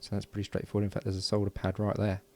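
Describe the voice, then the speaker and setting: Low gravelly man, casual workbench commentary. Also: completely flat delivery, racing, warm tone. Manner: unscripted, murmuring along while working. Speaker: hobbyist electronics builder and presenter. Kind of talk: casual hands-on build commentary.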